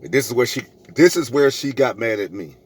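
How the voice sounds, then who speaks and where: A man's voice: mid-range voice, animated, fairly quick, breathy edge. Emphatic middle-aged man telling stories. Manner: emphatic, preachy, repeats phrases for effect. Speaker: vlogger telling a personal story. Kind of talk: animated storytelling to camera, re-enacting arguments.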